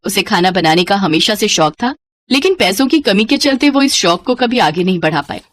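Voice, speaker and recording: A woman narrating a scripted children's story in an expressive storyteller's manner. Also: medium pitch, moderate pace, no echo.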